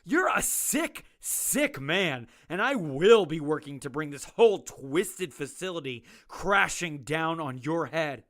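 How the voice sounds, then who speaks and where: An actor playing an indignant young psychiatrist, earnest and outraged, his voice jumping high when he protests. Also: sing-song, slow pace, hardly any pauses, fairly bright tone, full character voice. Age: young adult. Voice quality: earnest, outraged, voice leaps in pitch. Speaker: doctor evaluating prisoners. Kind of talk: audio drama acting.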